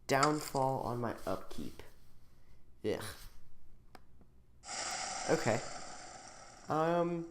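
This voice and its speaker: A man's voice: mid-range voice, expressive, extremely slow, long pauses, background noise. A man talking casually through his own card game turn by turn, thinking aloud with ums and restarts. He is getting over a cold and sounds a little sniffly. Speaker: channel host.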